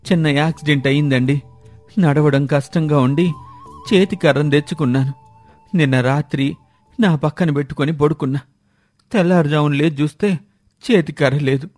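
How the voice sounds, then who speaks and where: Man acting an anxious, high-pitched pleading villager. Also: darkish tone, close to an everyday voice. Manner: plaintive, faltering when cornered. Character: anxious, pleading villager lying about his stolen walking stick. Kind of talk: acted dialogue in a moral story.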